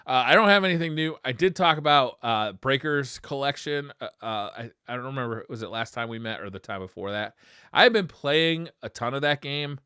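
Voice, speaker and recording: Guy co-hosting a gaming podcast, bright forward voice, really dry close sound. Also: medium pitch, animated, a little fast, smooth, dry recording. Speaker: podcast co-host. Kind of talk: casual retro gaming podcast chat.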